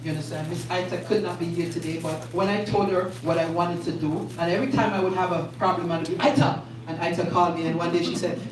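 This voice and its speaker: A woman emcee speaks to a room full of people, repeating words for emphasis and building warmth as she goes. Her voice comes from across a very echoey space, picked up from a distance. Steady background noise sits under everything. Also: fairly low pitch, quick pace, hardly any pauses. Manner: warm, repetitive, emphatic, animated. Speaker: event host. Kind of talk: live event speech to a crowd. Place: community event space indoors.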